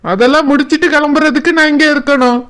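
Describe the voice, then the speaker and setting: A high-pitched grown son from a village cartoon, acted by a man. He is obliging and practical, organizing things for his mother in a Kanyakumari Tamil accent. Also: steady tone, fast talker, fairly bright tone. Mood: busy, obliging. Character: dutiful, practical adult son organizing transport. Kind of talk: village cartoon drama acting.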